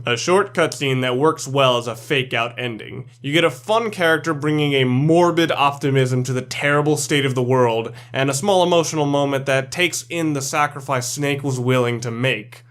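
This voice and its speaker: A man's voice: mid-range voice, normal speed, hardly any pauses, fairly bright tone. A man reading scripted commentary for a video game ranking list, blunt and opinionated.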